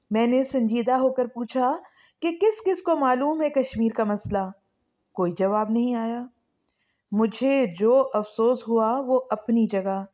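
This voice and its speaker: A woman narrating a scripted story as a channel host, with an expressive, questioning delivery. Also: sits high.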